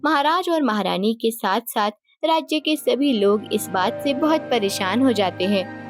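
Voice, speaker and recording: High-pitched woman storyteller. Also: lively intonation, unhurried, soft background music. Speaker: narrator. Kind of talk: scripted storytelling narration.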